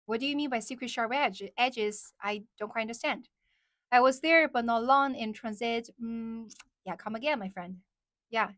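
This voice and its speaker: A woman's voice: a little high, moderate pace, a bit bright, dry recording, clean studio sound. A young woman from China, hosting a casual livestream, chatting with viewers, repeating their questions aloud and switching between English and Mandarin. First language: Chinese. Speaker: livestream host. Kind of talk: casual livestream chat reading viewer comments.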